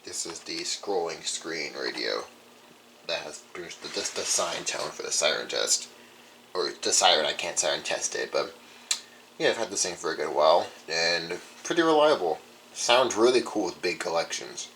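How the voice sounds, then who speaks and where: A young man with a low voice talks informally, like a hobbyist showing off a collection. He rambles casually from item to item. There is some noise behind him in the room. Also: lively intonation, moderate pace, a bit bright, low-quality recording. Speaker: radio collector. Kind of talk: casual show-and-tell vlog. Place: indoor room.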